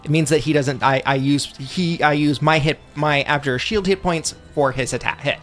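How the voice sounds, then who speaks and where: A man's voice: a little high, normal speed, fairly bright tone, faint music behind. A man talking casually as a player in a tabletop fantasy game, explaining rules and his character's abilities.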